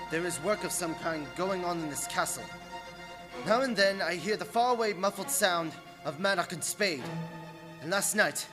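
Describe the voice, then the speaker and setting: A man playing an anxious young English gentleman, a trapped guest earnestly telling his diary in a dramatic radio play. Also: high voice, close to an everyday voice. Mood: grim, anxious. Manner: earnest, dramatic, increasingly desperate. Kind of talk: live radio drama narration and acting.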